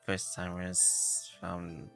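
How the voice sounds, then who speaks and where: Low, creaky-voiced man streaming a game. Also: completely flat delivery, fairly bright tone. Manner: halting, meandering. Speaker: live streamer. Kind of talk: casual gaming livestream chat. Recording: game music behind the voice. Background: video game music.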